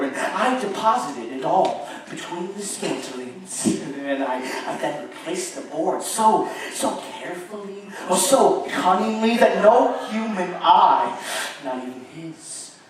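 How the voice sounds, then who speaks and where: Unhinged gothic murderer, echoing, wildly swooping. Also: male voice, fairly high pitch. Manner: halting, stammering repetitions, sudden outbursts and nervous chuckles. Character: unhinged nervous murderer narrating his gothic crime, gleeful and frantic. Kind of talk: theatrical dramatic reading of gothic horror. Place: live show at an amusement park. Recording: echoey hall from far off, some noise.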